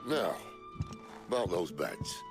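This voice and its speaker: A man playing a wry, roguish middle-aged rebel leader in a video game, dry, sardonic and world-weary, with a gravelly voice. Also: low-pitched, big pitch swings, takes it slowly, music in the background, heavily acted. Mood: wry, easygoing.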